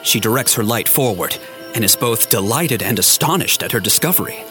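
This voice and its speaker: A man's voice: on the low side, lively intonation, fairly quick. A man giving a scripted, storytelling narration for a science fiction audio drama. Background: music.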